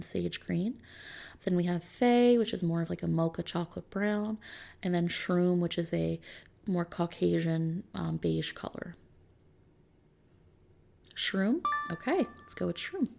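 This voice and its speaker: A woman doing an ASMR roleplay as a customization consultant, talking softly and unhurriedly to a customer in a gently explanatory, reassuring way. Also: on the low side, gravelly. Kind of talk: ASMR roleplay, soft customer-service talk.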